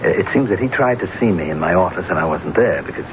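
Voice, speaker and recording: An actor playing an anxious, guilt-ridden unfaithful husband in a radio mystery drama, stammering and repeating words earnestly. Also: male voice, deep voice, expressive, fast talker. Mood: anxious explaining. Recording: noisy, muffled old radio broadcast recording.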